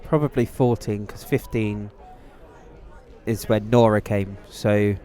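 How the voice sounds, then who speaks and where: A man's voice: fairly low pitch, fairly even intonation, slow, mellow, a faint crowd. A man chatting casually as a podcast guest. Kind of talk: casual chat.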